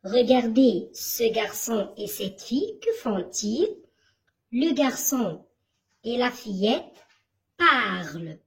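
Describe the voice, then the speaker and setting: Female teacher, high animated voice, measured pace, leads kids through a text. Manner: slow, questioning, didactic. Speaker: primary school teacher. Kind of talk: reading lesson for children.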